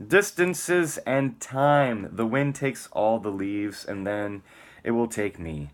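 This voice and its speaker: A man's voice: lowish voice, takes it slowly. A man giving a dramatic, theatrical spoken-word reading, moving between emphatic lines and muttered casual asides.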